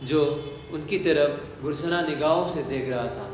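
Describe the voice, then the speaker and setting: A man's voice: fairly high pitch, measured pace. A man narrating a story in a literary, dramatic style. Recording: very echoey hall, faint noise.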